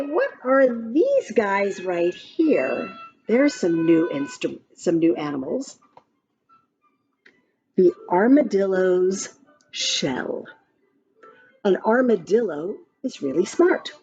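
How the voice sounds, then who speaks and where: A woman reading a children's picture book aloud in an expressive, sing-song way, adding chatty asides about the pictures. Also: a little high, breathy edge. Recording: slightly dull lo-fi, music behind. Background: music.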